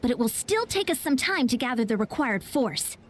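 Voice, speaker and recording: A woman playing a composed, dutiful military strategist in a video game, speaking in a measured, formal way. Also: a little high, sing-song.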